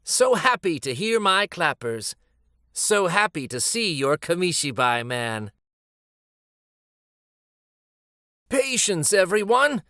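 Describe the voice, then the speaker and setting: A gentle old grandfather who once told stories in the street, acted out by a man reading a picture book. He muses nostalgically and slowly, with small hesitations, and his slightly raised voice rises and falls in a lively way. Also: high voice, dry recording, clean studio sound, exaggerated voice. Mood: tender, calling out. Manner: hesitant, musing, with little ums.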